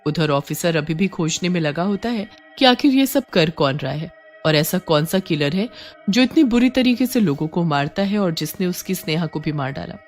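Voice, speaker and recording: A woman's voice: on the low side, animated, moderate pace, soft background music. A woman reading scripted horror story narration as the narrator.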